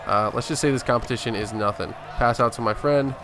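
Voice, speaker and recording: A man's voice: mid-range voice, fairly quick, a crowd in the background, noisy background. A man giving casual, boastful gameplay commentary, narrating each move as a gaming video host.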